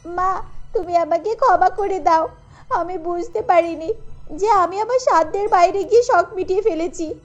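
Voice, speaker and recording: Headstrong young bride-to-be, woman performer: pointed, girlish, extremely high voice, music behind. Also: moderate pace, dull audio. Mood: guilty. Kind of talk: cartoon voice acting.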